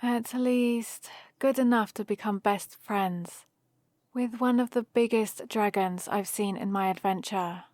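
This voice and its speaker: A woman's voice: medium pitch, measured pace, darkish tone, natural voice. A nerdy young adventuring heroine, played by a woman, chats to the listener in an ASMR roleplay. She rambles cheerfully and explains things in a friendly way, taking her time. The sound is dry and free of room echo. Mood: fond, confiding.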